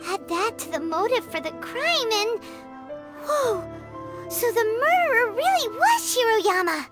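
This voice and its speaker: A woman voicing a tiny, childlike companion character in a video game, squeaky, expressive and cute, with an excitable and curious temper. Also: unhurried, heavily acted. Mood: amazed. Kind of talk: English video game voice acting. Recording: studio voice under loud game music. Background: loud game music.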